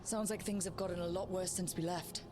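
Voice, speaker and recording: A woman's voice: lowish voice, fairly even intonation, fairly quick, noisy background, close to an everyday voice. An actress playing a resolute, direct female adventurer who leads a small party in a fantasy video game. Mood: questioning. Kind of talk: video game cutscene acting. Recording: game music behind.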